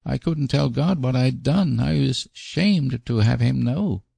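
A man's voice: medium pitch, lively intonation, a little slow, gravelly, mellow, slightly rough, no room sound, pitched above the performer's own voice, exaggerated voice. A man voicing a guilty, eager little boy in a storybook, childlike, earnest and confessional. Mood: miserable, pleading. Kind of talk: storybook character voice.

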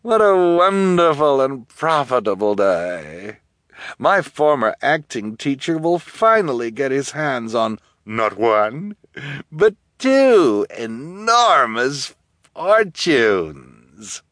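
A man's voice: medium pitch, sing-song, fairly bright tone, no room sound, studio quality, voice pushed up. A man voicing a cruel, vain woman guardian in a gloating, mocking storybook villain manner. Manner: drawn-out, mocking, theatrical.

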